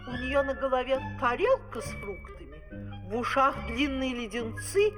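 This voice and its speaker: A narrator telling a scripted fairy tale in an expressive storytelling manner. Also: high voice, measured pace. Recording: old vinyl record with music underneath. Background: music.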